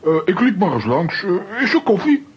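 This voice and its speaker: A man's voice: high-pitched, big pitch swings, moderate pace, breathy edge, highs cut off, full character voice. A man playing a bashful, blustering bachelor buffoon in a children's audio drama, hesitant and stammering. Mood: flustered.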